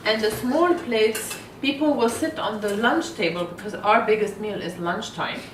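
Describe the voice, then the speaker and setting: A woman's voice: fairly high pitch, animated, fairly quick. A woman explaining something casually in conversation. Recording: distant, echoey room, clattering dishes and noise.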